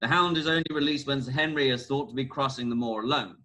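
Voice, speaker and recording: A man's voice: medium pitch, steady tone, quick pace, bright, forceful tone, close to an everyday voice. A man playing a bossy, clever detective in a comic amateur radio play, with a grand, declamatory delivery. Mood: confiding.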